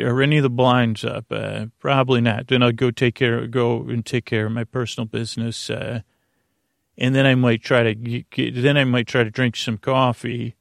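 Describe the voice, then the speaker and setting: A man with a creaky voice hosting a bedtime podcast, rambling haltingly through meandering tangents with stammered false starts. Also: mid-range voice, dry recording, studio quality. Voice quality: creaky, gravelly. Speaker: podcast host. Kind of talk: rambling bedtime monologue to help listeners sleep.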